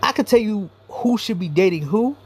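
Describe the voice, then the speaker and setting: A man's voice: high-pitched, lively intonation, moderate pace, a little mellow, slightly rough. A man giving a blunt, profane, rambling commentary monologue as a YouTuber.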